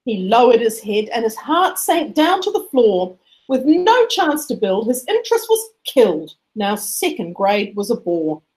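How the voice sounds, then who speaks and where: A woman with a high voice narrates a rhyming picture book for children. Her delivery is expressive and rhythmic, rising and falling in a lively sing-song. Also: a little slow, hardly any pauses, rough recording. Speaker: storybook reader.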